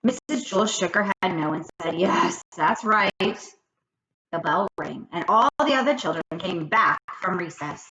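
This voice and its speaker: Animated woman reading a children's story. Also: lowish voice, measured pace. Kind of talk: expressive children's book read-aloud. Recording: roomy echo, faint background noise.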